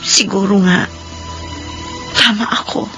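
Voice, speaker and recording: A woman's voice: mid-range voice, steady tone, slow pace, vocal fry, bright, forceful tone, full character voice. An actress playing a caring younger sister in a radio drama, urgent and worried as she comforts her. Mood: concerned. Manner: urgent, coaxing, concerned. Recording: radio drama with music behind.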